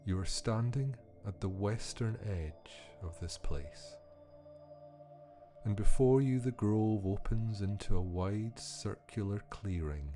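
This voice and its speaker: A man reading scripted guided-meditation narration in a soothing, unhurried manner with measured pauses. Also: on the low side, dark tone, faint music behind. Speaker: podcast host and meditation guide.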